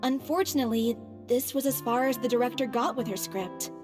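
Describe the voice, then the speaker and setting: A woman's voice: fairly high pitch, fairly quick, natural voice. A woman playing an earnest, matter-of-fact young theater troupe member in a video game. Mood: earnest, regretful. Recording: music behind the voice.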